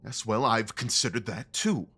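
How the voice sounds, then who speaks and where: A man acting a restless, commanding gentleman detective in an audio drama, speaking formally and with intense emphasis. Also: lowish voice, normal speed, breathy edge. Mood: frustrated, restless.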